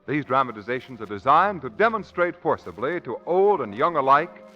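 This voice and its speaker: Male vintage radio announcer: formal, emphatic, widely swinging mid pitch, muffled old recording. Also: very animated, normal speed, pushed and bright, soft background music. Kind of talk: 1940s radio announcing, scripted.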